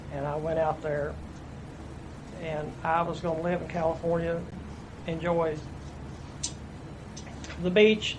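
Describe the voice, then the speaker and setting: A man's voice: fairly high pitch, gravelly. A man in his fifties with an East Tennessee accent, telling his life story plainly in an oral history interview. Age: 50s. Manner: plainspoken, folksy storytelling with restarts. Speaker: interviewee, veteran. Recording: noisy room recording.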